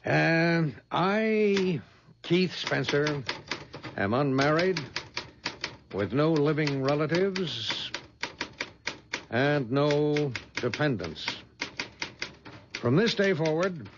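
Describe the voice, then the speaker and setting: An actor playing a brisk, businesslike head of a detective agency in an American radio drama, with a husky voice and an American accent. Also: male voice, a little high, lively intonation, noisy background. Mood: dictating formally. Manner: businesslike, explanatory. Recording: dull lo-fi 1970s radio recording.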